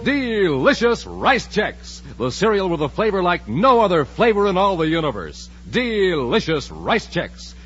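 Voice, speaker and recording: A man announcing in a 1950s radio style, emphatic and theatrical, like a serial narrator and pitchman. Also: sing-song, unhurried, hardly any pauses, music in the background. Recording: noisy, muffled old radio recording.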